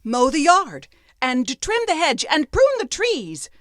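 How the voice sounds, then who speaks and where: Woman playing a high-pitched bossy young apprentice. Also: huge pitch swings, slow, fairly bright tone, dry recording, clean studio sound, heavily acted. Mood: commanding. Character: foolish young man, rabbi's apprentice giving orders.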